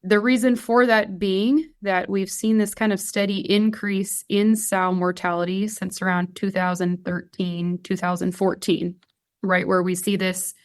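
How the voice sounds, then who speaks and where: A woman, explaining her field as an expert guest on a podcast. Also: fairly low pitch. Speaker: guest expert, swine geneticist. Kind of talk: explanatory podcast interview talk.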